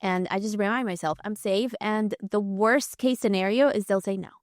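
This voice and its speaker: A woman's voice: mid-range voice, moderate pace, no echo, studio quality. A woman in her thirties, a podcast guest, talking candidly and reflectively, full of "like" fillers. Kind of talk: conversational interview.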